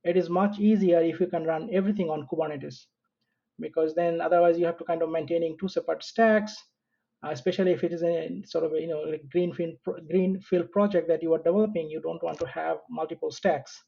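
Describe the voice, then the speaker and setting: A man with a Bangladeshi accent speaking casually as an interview guest, halting and correcting himself as he goes. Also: fairly high pitch, steady tone, gravelly, slightly rough. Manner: halting, conversational, frequent self-corrections. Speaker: interview guest, software engineer and founder. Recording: dull, lo-fi remote connection.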